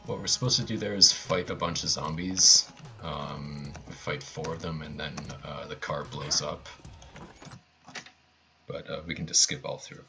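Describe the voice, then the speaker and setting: Low-voiced male speedrunner, halting, offhand live commentary with dry humour. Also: steady tone, gravelly, pushed and bright. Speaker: speedrunner commentating own run. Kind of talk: casual live gameplay commentary.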